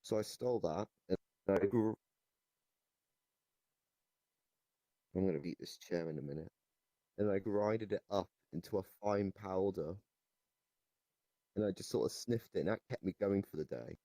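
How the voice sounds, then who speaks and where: Guy doing a unhinged goblin telling absurd stories, low mellow voice, rambly n nonchalant, dry close sound. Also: dry recording. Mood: rambling, nonchalant. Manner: rambling, halting, nonchalant about absurd claims. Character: RoboGoblin, rambling deranged goblin storyteller boasting of absurd evil deeds. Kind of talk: improvised comic storytelling in an interview. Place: virtual reality voice chat.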